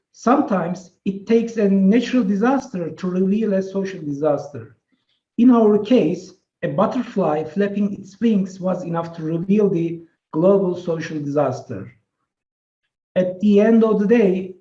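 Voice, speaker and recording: A man whose first language is Turkish, an associate professor giving a measured, prepared webinar talk with some fillers. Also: sits high, warm tone, echoey room, muffled recording. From Turkey.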